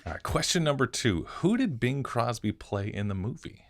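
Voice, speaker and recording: A man's voice: medium pitch, big pitch swings, normal speed, dry recording. A man co-hosting a podcast, chatting casually with a guest and the listeners. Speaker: podcast co-host. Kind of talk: casual chat.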